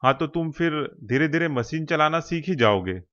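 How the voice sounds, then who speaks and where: A man's voice: on the high side, lively intonation, normal speed, fairly bright tone, lo-fi sound. A man voicing a kindly, cautious veteran factory worker in a cartoon story, patiently explaining and advising. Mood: friendly advising. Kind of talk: Hindi cartoon story dialogue.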